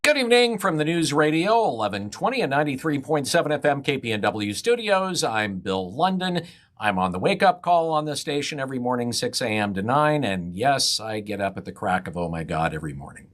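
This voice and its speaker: A man's voice: medium pitch, a bit bright. A man who hosts a morning radio show, reading a scripted news commentary in a wry, skeptical way.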